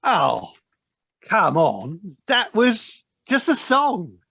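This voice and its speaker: An actor playing a scheming, bullying chief weasel in an audio play, taunting and contemptuous, with a gravelly voice. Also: mid-range voice, very animated, very slow, bright, forceful tone, dull audio, full character voice. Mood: taunting, sly. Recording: home recording over video call.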